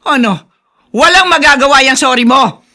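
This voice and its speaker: Shrill, furious scolding mother. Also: lively intonation, moderate pace, sharp tone. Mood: angry, scolding. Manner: scolding, emphatic. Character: furious, sharp-tongued middle-aged mother of a teenage son. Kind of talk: radio drama acting.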